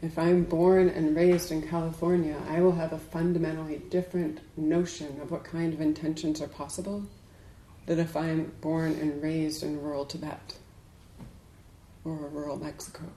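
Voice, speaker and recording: A woman giving a dharma talk to a group as a Buddhist teacher, speaking in a measured, deliberate way with emphatic pauses. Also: low-pitched, little pitch movement, mellow, slight background noise. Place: indoors in a room. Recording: echoey room.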